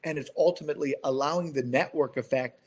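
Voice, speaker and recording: A middle-aged man speaking earnestly and haltingly as a company founder in a business conference call discussion. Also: fairly high pitch.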